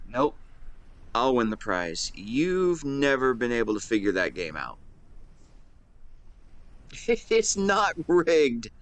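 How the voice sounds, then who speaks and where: A man playing a shy young man in love in a romantic audio roleplay, speaking in an earnest, halting, emotional way. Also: mid-range voice, very animated. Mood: playful, teasing. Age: young adult. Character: shy young man tenderly confessing love to best friend.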